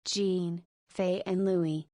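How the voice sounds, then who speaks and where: A woman's voice: lowish voice, steady tone, extremely slow, dark tone, dry recording, clean studio sound. A woman voicing a forty-year-old single mother and school principal in a visual novel, with teasing, motherly banter. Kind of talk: voiced visual novel dialogue.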